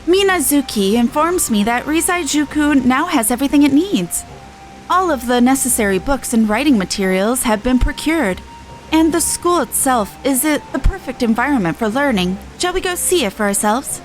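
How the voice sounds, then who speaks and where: A polite, formal female quest-giver from a fantasy game, read out by a woman. The voice is fairly high and lilts widely, with music behind it. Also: expressive, normal speed, low-quality recording. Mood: pleased, formal. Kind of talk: video game dialogue read aloud.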